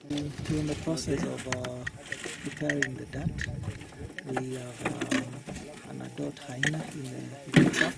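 A man's voice: medium pitch, little pitch movement, unhurried, vocal fry. A man with a Kenyan accent, explaining and directing fieldwork as a wildlife veterinarian. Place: open savanna beside vehicles. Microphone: handheld camera at some distance. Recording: distant outdoor voice, heavy field noise.

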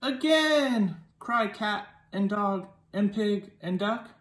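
Slow-paced children's storytime reader in a roomy space. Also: mid-range voice, lively intonation, background noise. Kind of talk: children's picture book read-aloud. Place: living room. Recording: roomy indoor echo.

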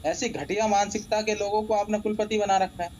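Combative man with very high-pitched voice. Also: fairly even intonation, a little fast, a little mellow. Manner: combative, repetitive, interrupting. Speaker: debate guest, Islamic scholar. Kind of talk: heated TV debate. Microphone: remote video call.

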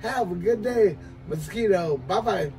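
An older American man calling out on CB radio in showy, slangy banter, repeating catchphrases, with a gravelly voice. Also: high-pitched, expressive, measured pace, over music. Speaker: CB radio operator. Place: small cluttered indoor radio room or shed. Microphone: desk CB microphone in hand, filmed from across room.

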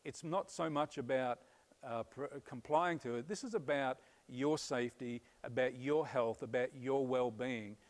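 A man in his fifties with an Australian accent, a pastor giving earnest, upbeat church announcements on a stage, pausing often on "uh", with a lapel mic. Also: mid-range voice. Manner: earnest, upbeat, many "uh" fillers. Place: church stage before a curtain.

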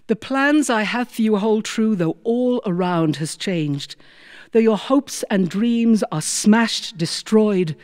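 A middle-aged British woman telling a story live, with dramatic, deliberate delivery. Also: mid-range voice, darkish tone. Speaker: storyteller, former prison chaplain. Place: recording studio with small audience.

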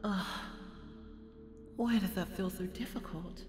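Woman voicing a slow, echoing, haughty fire goddess. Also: medium pitch, darkish tone, a little hiss or hum, lightly acted. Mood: bored, frustrated. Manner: haughty, slow, drawling, with breathy murmured asides. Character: bored, volatile, haughty immortal fire goddess. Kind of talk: ASMR audio roleplay. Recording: echoey hall reverb with music behind.

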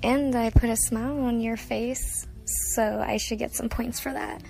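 An actress plays a flirtatious woman in an audio drama. She speaks slowly in a fairly high voice with plenty of vocal fry. Her manner is playful and intimate. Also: steady tone, a little mellow, soft background music, poor audio. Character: flirtatious woman on a date, playful then apologetic.

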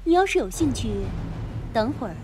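一名女演员在广播剧中饰演冷酷、有心计、语带嘲讽的帮派女叛徒。. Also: female voice, a little high, very animated, normal speed, background noise. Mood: taunting. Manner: taunting, coolly condescending. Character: cold, scheming female gang insider turned betrayer. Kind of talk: Chinese radio drama acting.

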